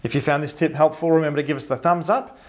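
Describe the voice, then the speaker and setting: A man in his thirties with a broad Australian accent presents a tech tutorial to camera. His voice sits a touch higher than most men's, and his delivery stays upbeat and explanatory. He sounds like he is reading from a script in an indoor studio. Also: steady tone, quick pace. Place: indoor studio with a blurred office backdrop.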